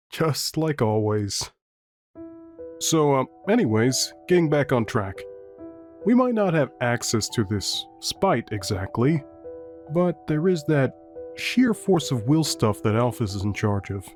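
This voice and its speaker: Man as easygoing skeleton intern for a comic dub: mid-pitched, lively swings, casual, dryly joking. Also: takes it slowly, long pauses. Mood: reassuring. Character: laid-back, wry young male skeleton lab assistant. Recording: faint music behind the voice.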